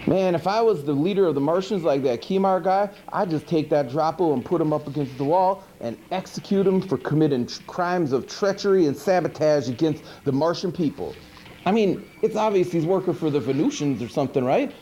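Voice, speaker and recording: Man acting as goofy monster TV host, mellow voice, lively swooping pitch, folksy slangy rambling, noisy old VHS tape. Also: brisk, lo-fi sound, close to an everyday voice. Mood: riled, rambling. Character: goofy, rambling monster hosting a horror movie show. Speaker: horror movie show host. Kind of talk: comic movie commentary.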